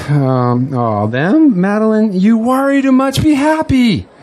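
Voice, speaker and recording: An actor playing an exuberant, grandiose newly elected politician in an audio drama, with an excitable, speechifying manner. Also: male voice, high-pitched, takes it slowly, exaggerated voice. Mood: flustered, dismissive.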